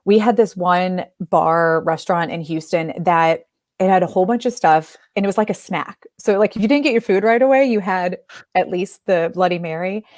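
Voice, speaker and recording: A woman from Ohio chats as a guest in a friendly, easygoing way, laughing often. Her voice sits at a middle pitch and sounds close and dry, with no room echo. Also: moderate pace, a little mellow. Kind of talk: casual chat.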